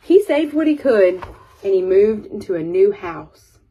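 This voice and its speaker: A woman reading a children's picture book aloud as an expressive storyteller. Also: mid-range voice, a little slow, slightly rough, muffled recording.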